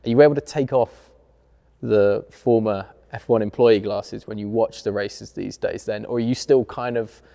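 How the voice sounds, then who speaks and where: A man's voice: on the low side, fairly even intonation, normal speed, creaky, warm tone, in a large hall. A man interviewing a guest, asking friendly, teasing questions.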